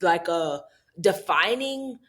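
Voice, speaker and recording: A woman's voice: on the low side, expressive, slow pace. A woman hosting an interview, affirming her guest and asking long, rambling questions. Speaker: podcast host. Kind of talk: casual interview conversation.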